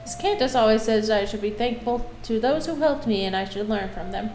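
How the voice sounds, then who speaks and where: A woman's voice: on the high side, fairly quick, a little mellow. A woman livestreaming a video game, chatting casually and reading dialogue aloud. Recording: roomy echo, music behind.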